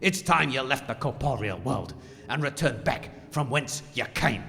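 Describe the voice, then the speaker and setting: Guy doing a showy exorcist, creaky lively voice, mock solemn radio comedy, bit of hall echo. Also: mid-range voice, a little slow, very noisy. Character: bold, showy exorcist leading a ghost tour. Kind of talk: comic audio drama acting.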